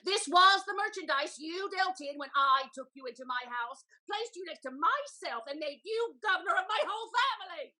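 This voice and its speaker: A woman's voice: very high-pitched, animated, normal speed, hardly any pauses, pushed and bright, full character voice. A woman playing a vain, tyrannical older aristocratic widow in a Restoration comedy, gushing, exclamatory and overwrought. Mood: scornful fury. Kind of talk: theatrical Restoration comedy reading.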